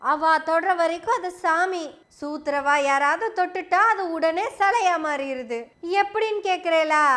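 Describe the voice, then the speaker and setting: A woman's voice: very high voice, a bit bright. A woman giving an opinion monologue to camera as a political commentator, sarcastic and full of rhetorical questions.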